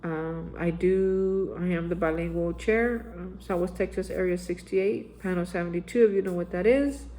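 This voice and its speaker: A man's voice: sits high, moderate pace, hardly any pauses, warm tone, poor audio. Earnest male vlogger with a plain speaking voice; exhorting, repetitive spiritual reflection. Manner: earnest, exhorting, repeats phrases and says "man". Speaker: recovery vlogger. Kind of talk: daily spiritual reflection monologue. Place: Austin, Texas area.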